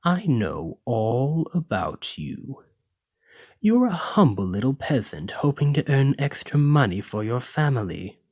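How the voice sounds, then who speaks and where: Un hombre interpretando a un noble lamia altivo y pausado. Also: male voice, medium pitch, big pitch swings, darkish tone, breathy edge, no room sound, highs cut off. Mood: smug, menacing. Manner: condescending, theatrical, deliberate aristocratic speech. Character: haughty, menacing male lamia noble, wealthy retired merchant. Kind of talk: ASMR roleplay addressed to the listener.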